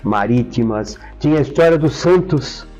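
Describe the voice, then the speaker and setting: A man's voice: medium pitch, lively intonation, normal speed, a little mellow. An older man with a Brazilian Portuguese accent telling stories as an interview guest, enthusiastic and emphatic, often repeating names. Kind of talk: conversational storytelling interview. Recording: slightly dull sound, faint music behind.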